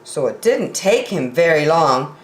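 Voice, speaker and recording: A woman's voice: sits low, very animated, unhurried. A middle-aged woman reading picture books aloud expressively for young children, in a roomy, echoing home recording.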